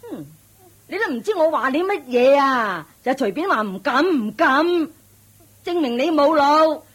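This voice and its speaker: A spiteful, sneering old matriarch. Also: female voice, high voice, animated, a little slow, a bit bright. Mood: scornful. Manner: sneering, scolding, mocking. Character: haughty, spiteful wealthy old matriarch, domineering mother-in-law. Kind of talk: Cantonese radio drama acting.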